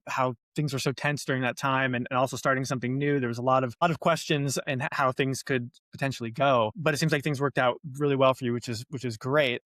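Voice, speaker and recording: A man hosts a podcast in an easygoing way, with a voice of middling pitch. He asks his questions at a relaxed pace, with hesitations along the way. His sound is dry and close. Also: no room sound. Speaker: podcast host. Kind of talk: casual interview hosting.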